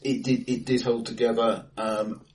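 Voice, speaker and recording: A man co-hosting a casual film discussion, talking in a measured, analytical and somewhat hesitant way. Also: medium pitch, level tone. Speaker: podcast host. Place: indoors, reverberant room, face to face. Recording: echoey bright room, distant mic.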